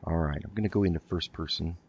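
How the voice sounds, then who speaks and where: A man with a low voice hosts a roleplay gaming session. He talks casually and hesitantly, thinking through his moves as he goes. The sound is a little dull, like a modest home recording. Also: fast talker, a little mellow, husky. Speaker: host of a video game roleplay let's play. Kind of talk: casual gameplay commentary.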